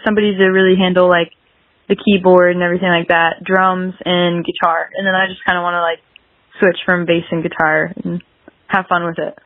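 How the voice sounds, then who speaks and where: A woman's voice: lowish voice, dry recording. A young woman talking casually as an interview guest, rambling and peppering her sentences with "like". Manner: rambling, dense with filler "like". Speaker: podcast guest, singer-songwriter. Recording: dull lo-fi radio-like line.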